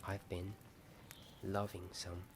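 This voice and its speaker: A man whispering a hesitant, rambling ASMR chat in a soft, often breath-only voice. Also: low voice, monotone, slow pace. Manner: hesitant, rambling, self-deprecating. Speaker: ASMR video host. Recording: strong ambient noise behind whisper. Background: added ambience sound.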